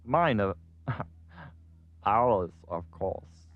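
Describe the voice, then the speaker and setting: An actor playing a pompous, scheming cartoon villain with a precise, haughty manner. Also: male voice, on the low side, animated, drawn-out words, narrow, tinny audio. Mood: smug, scheming. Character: pompous scheming villain with a cold, logical mind.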